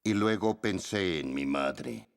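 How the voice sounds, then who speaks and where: A man giving a halting, hesitant account as a war veteran in a dubbed documentary interview. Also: low-pitched, lively intonation, clear, resonant tone.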